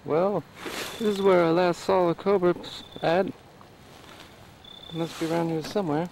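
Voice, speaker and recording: A wary soldier scout, acted by a man, speaks in a slightly high, creaky voice. Faint noise runs behind this amateur audio drama. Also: fairly even intonation, normal speed, darkish tone. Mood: searching.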